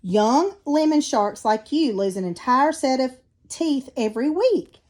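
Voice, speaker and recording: A woman's voice: high voice, expressive, measured pace, a little mellow. A woman voicing a caring, determined mother shark in a children's storybook, with a soothing, reassuring manner.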